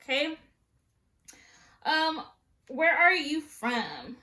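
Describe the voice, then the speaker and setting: A woman's voice: high-pitched, very animated, drawn-out words, sharp tone, echoey room. A woman in her twenties from Southern California, chatting casually and sassily to her vlog viewers. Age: 20s. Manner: chatty, sassy, playful asides. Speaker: beauty vlogger. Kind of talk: casual chat.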